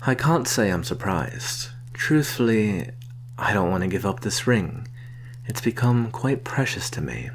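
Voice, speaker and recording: A man playing a sly vampire posing as a traveling merchant, soft-spoken and coaxing in an ASMR roleplay. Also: deep voice, slight background noise, exaggerated voice. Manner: soft-spoken, coaxing, deliberate with sighs and soft chuckles.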